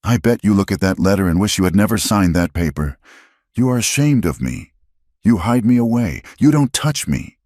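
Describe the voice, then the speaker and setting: A man's voice: medium pitch, normal speed, vocal fry, few pauses, husky, no echo, studio quality, voice pushed up. A man voicing a huge, lonely and fiercely strong young woman with a raw, pleading tone. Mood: hurt. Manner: raw, pleading then shouting.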